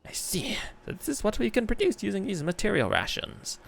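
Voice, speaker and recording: A man's voice: sits high, huge pitch swings, fairly quick, creaky, slight background noise, voice pushed up, heavily acted. A man reading out the game dialogue of an eccentric, boastful toy inventor in formal, wordy phrasing. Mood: formal, businesslike.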